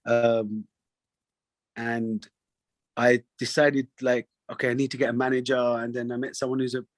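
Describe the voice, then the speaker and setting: A man's voice: medium pitch, moderate pace, dry recording. Chatty man telling stories. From England. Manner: animated storyteller, laughs often, many fillers. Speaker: guest singer. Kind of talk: casual interview chat.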